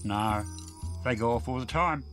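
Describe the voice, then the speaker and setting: A man's voice: medium pitch, unhurried, natural voice. A man voicing a grumpy, flustered male storybook character with a drawling, exasperated delivery, over background music.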